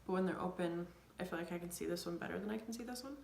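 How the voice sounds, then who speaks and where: A young woman with a sniffly, cold-stuffed voice and plenty of gravelly fry talks casually through a makeup routine. She rambles with lots of fillers and slips into soft near-whispers. The sound is roomy and echoey. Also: fairly low pitch, very fast, slight background noise. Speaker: beauty vlogger. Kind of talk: casual makeup tutorial chat. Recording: echoey room.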